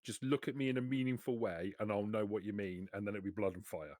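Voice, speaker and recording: A man's voice: lowish voice, steady tone, quick pace, darkish tone, no room sound, studio quality. A man playing a tabletop roleplaying game, talking casually and improvising as he goes.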